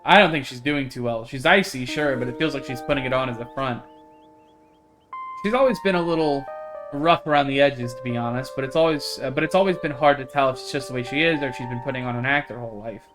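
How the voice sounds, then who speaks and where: A man's voice: medium pitch, fast, music in the background, natural voice. A man voicing a chatty, friendly small-town woman, reading a visual novel character in a folksy, rambling manner. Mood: concerned, reflective.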